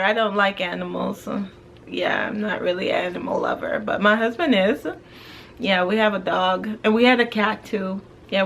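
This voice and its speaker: A woman's voice: mid-range voice, creaky, sharp tone, slight background noise. A young woman from Jamaica, chatting casually through a Q and A vlog in a laid-back way, full of fillers. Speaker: vlogger.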